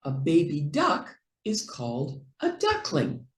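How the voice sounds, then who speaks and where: Lady reading a kids book out loud, super sing-song and expressive, takes her time, echoey room. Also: sits low. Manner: expressive, deliberate, with playful animal sounds. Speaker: children's book reader and online teacher. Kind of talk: slow animated read-aloud for young children.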